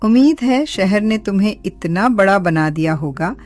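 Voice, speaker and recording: A woman's voice: mid-range voice, animated, normal speed, darkish tone, lightly acted. A woman playing an older village mother-in-law in a cartoon, welcoming but expectant. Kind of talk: Hindi cartoon story acting.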